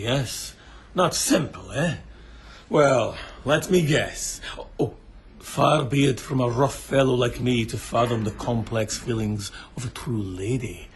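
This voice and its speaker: An actor playing a brutal, lecherous bandit leader in a radio drama, taunting with theatrical mock courtesy. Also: male voice, mid-range voice, slight background noise.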